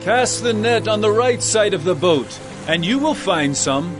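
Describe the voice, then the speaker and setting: A man voicing Jesus as a calm, tender teacher in a dramatized scripture reading, speaking slowly and with measured care. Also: sits high, pitched above the performer's own voice. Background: music.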